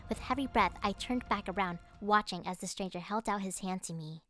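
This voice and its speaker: A woman's voice: mid-range voice, a little fast, lightly acted. An actress playing an earnest, affectionate young adventurer girl in an audiobook drama. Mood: tense. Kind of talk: audiobook drama character acting. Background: loud music.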